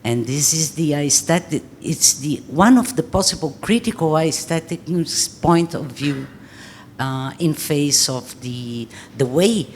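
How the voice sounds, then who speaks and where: Woman speaking english at a gallery panel, low voice, slow and thoughtful, faint hiss. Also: expressive. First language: Portuguese. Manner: earnest, thinking aloud with many pauses. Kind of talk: public panel talk. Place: art gallery talk before an audience.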